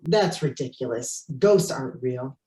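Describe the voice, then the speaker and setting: A man reading the part of a gruff, jealous fifty-year-old husband in an animated comedy table read. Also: medium pitch, measured pace, natural voice. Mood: incredulous. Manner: gruff, indignant. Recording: roomy, echoing remote microphone.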